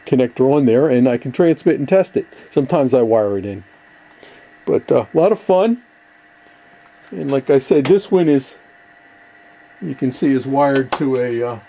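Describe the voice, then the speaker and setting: Older man, mellow rambling hobbyist. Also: mid-range voice, a little hiss or hum, low-quality recording. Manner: rambling, hesitant, easygoing. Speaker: ham radio hobbyist, video host. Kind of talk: casual workshop show-and-tell. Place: cluttered indoor basement workshop.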